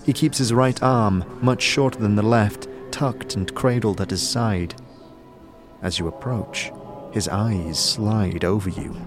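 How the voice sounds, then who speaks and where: A man with a low voice narrates a fantasy tale in scripted, second-person storytelling. Music plays clearly behind him throughout. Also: unhurried.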